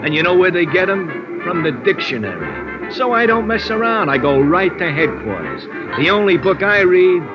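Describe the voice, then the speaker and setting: An actor playing a chatty, slangy bar manager who uses pompous big words, in old-time radio drama. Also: male voice, high-pitched, big pitch swings, moderate pace, hardly any pauses. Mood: gabby, enthusiastic. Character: gabby, hip, self-taught male bar manager. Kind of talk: 1950s radio acting, hipster slang. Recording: dull old radio recording, music behind. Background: music.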